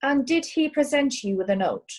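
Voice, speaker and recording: A woman playing a brisk, formal lawyer questioning witnesses in a comic courtroom play. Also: high-pitched, expressive. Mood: formal, probing. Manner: formal, inquisitive.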